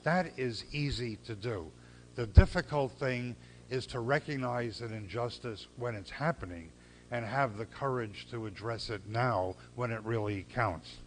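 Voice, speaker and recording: An older man gives an earnest, measured speech at a gala podium. He pauses often and repeats key phrases. A faint background hiss sits under his mid-pitched voice. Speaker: civil rights lawyer giving a gala speech. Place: banquet hall.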